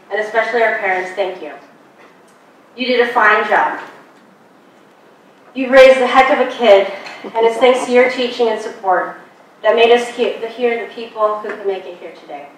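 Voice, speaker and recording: A young woman giving a prepared commencement speech in a measured, earnest way, speaking at a podium in a large lecture hall. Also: on the high side. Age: young adult. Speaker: graduate student commencement speaker. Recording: distant, very echoey hall, faint noise.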